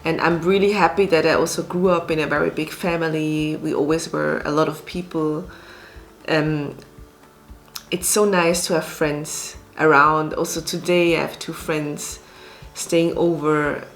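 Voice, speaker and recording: Slow-talking woman from Switzerland. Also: low voice, flat delivery. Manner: speaks slowly, with many fillers and restarts. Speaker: restaurant owner and actress. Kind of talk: casual interview talk.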